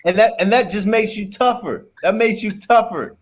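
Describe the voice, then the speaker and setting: A man's voice: high-pitched, moderate pace, slight background noise. A man co-hosting a podcast, joking and teasing the guest in casual banter. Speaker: podcast co-host.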